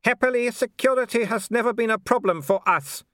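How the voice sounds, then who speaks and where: A man's voice: extremely high pitch, brisk, pushed and bright, dry recording, studio quality, pitched above the performer's own voice. A man voicing a haughty, falsely sweet aristocratic baroness in a storybook reading, with prim, icily polite speech.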